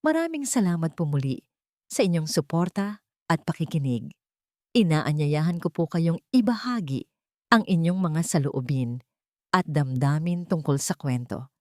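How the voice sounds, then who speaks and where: A woman's voice: deep voice, lively intonation, normal speed, darkish tone, no echo, studio quality. A woman with a Filipino accent narrating a scripted, sentimental story in an earnest, dramatic way.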